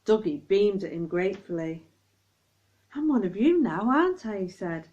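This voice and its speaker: A woman reading a children's story aloud expressively, acting out the dialogue with lively emphasis. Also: medium pitch, moderate pace, lo-fi sound.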